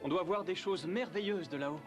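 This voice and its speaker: An actor playing a brave, carefree young outlaw leader in an animated film, confident and spirited. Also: male voice, high voice, brisk, lightly acted. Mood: breezy, decisive. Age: young adult. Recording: loud music behind the voice. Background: music.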